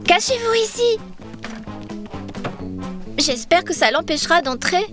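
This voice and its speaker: A woman voicing a brave, kind young girl adventurer in a children's cartoon, expressive and encouraging. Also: extremely high pitch, a little slow, music in the background, exaggerated voice. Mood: alarmed.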